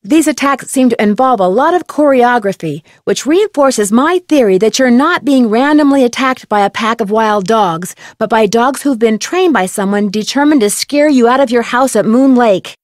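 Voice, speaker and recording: A woman's voice: a little high, animated, moderate pace, few pauses, no room sound, studio quality, natural voice. An actress playing a confident, inquisitive young woman detective in an adventure video game, speaking in an assured, articulate way. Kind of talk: game character dialogue acting.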